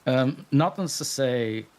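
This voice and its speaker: A man's voice: mid-range voice, darkish tone, smooth. A man from Belgium, a guest story narrator, chatting modestly and laughing often in a live stream interview. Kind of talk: casual interview chat.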